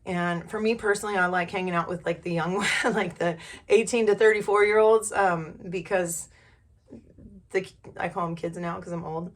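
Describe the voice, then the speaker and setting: A middle-aged woman talks in a somewhat low voice, hoarse from being sick. She tells her own story in a loose, conversational vlog style. Her delivery is frank and informal, with mild cursing. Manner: candid, informal, mildly profane. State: hoarse from a recent illness. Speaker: vlogger. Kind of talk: casual personal storytelling.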